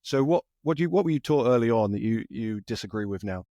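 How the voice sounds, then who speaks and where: A man hosting a podcast interview, asking questions casually, with restarts and warm affirmations. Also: mid-range voice, expressive, fast talker, no echo, dull audio. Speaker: podcast host.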